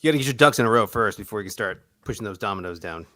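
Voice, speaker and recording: A man's voice: lowish voice, expressive, very fast, fairly bright tone, no echo, clean studio sound. A man co-hosting a podcast in casual chat, trading wry jokes and banter. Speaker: podcast co-host.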